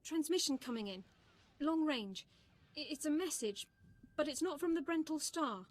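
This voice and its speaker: A woman's voice: high-pitched, animated, normal speed. A woman playing an anxious junior bridge officer in a video game, reporting in a tense, urgent way. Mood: alarmed.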